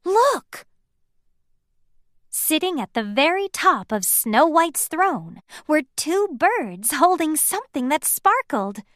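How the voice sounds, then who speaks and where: A woman narrating a children's read-aloud storybook in an animated, deliberate way, as one who acts out the dialogue. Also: high voice, no echo, studio quality.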